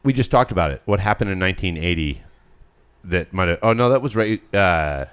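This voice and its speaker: A man's voice: low-pitched, expressive, a little fast, fairly bright tone, clean tone. A man hosting a podcast in casual, joking chat, often teasing and chuckling as he talks. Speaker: podcast host.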